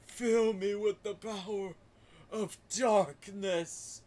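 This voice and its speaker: A man voicing a grandiose dark video game villain in a drawn-out, dramatic manner. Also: mid-range voice, steady tone, pitched above the performer's own voice. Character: grandiose dark male villain invoking power.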